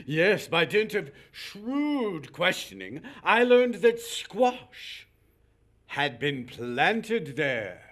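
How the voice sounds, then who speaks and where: A man playing a pompous, flowery-spoken, self-satisfied eccentric gentleman in a 1940s-style radio comedy. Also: high voice, big pitch swings, full character voice. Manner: pompous, florid, drawn-out.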